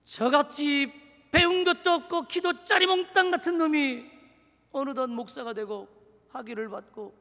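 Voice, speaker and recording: A man preaching in a strikingly high, bright, forceful voice. He leaps from fervent shouts to quiet, confiding asides. The sound rings with the long reverb of a church sanctuary. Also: moderate pace, gravelly. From Seoul. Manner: dramatic preaching, swinging between shouted exhortation and hushed asides. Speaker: pastor. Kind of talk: sermon.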